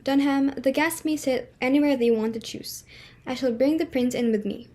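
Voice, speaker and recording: A woman's voice: high-pitched, normal speed, warm tone. A woman playing a flustered, imperious society hostess and mother in a dramatic reading of a stage comedy, emphatic and exclamatory. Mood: triumphant, grand.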